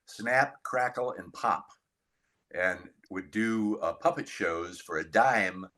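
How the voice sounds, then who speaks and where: An older man interviewing conversationally and telling stories, with deliberate, dramatic pauses. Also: lowish voice, sharp tone.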